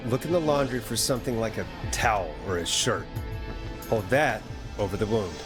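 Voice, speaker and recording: An actor playing a composed, caring late-night radio host in a video game, speaking in a steady, conversational way. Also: male voice, fairly low pitch, moderate pace, music in the background, lightly acted. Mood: calm, instructive. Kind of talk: natural video game voice acting.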